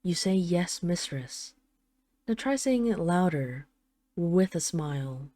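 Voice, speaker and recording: A woman's voice: on the low side, expressive, takes it slowly, mellow, no room sound, studio quality. A woman playing a possessive dragon queen in an intimate roleplay audio drama, teasing, condescending and commanding. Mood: stern, possessive.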